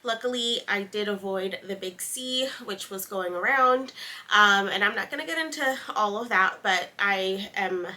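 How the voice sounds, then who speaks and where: A woman's voice: medium pitch, unhurried, pushed and bright. Congested woman chatting on a craft podcast. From East Coast of the United States. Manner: rambling, unscripted, many ums. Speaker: knitting podcast host. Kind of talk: casual sit-down chat. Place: home, seated indoors.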